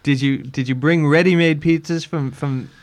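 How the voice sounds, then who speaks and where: A man hosting a radio show, chatty and quick to laugh. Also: on the high side, steady tone. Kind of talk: radio interview and sign-off.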